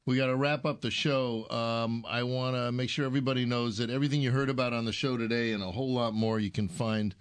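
A man's voice: mid-range voice, steady tone, fast talker, hardly any pauses, clear, resonant tone. Jokey male radio co-host. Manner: jokey, self-deprecating. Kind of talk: casual talk radio chat.

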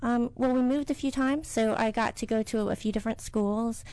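A middle-aged woman from the United States, a business owner answering interview questions in a soft, hesitant way with many fillers. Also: on the high side, fairly even intonation, moderate pace, dry recording.

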